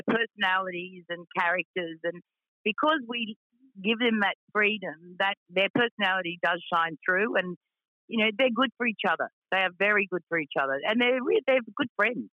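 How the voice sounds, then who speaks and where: A woman with a deep voice who owns a racing team, talking frankly with lots of fillers in a radio interview. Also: moderate pace, dry recording, muffled recording. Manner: frank, chatty, many fillers.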